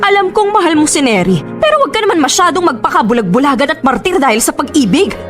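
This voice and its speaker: A woman with a Filipino accent playing a combative, sharp-tongued, defensive mother in a radio drama, argumentative and sarcastic. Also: high voice, big pitch swings, quick pace, soft background music. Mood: accusing.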